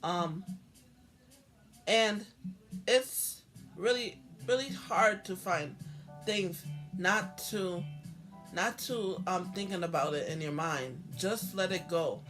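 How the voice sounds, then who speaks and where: A hoarse woman vlogging about her own life in a halting, earnest way, repeating herself and restarting often. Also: on the low side, fairly bright tone, soft background music. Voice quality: halting, repetitive, with many restarts. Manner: earnest, heartfelt. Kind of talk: personal talk to camera.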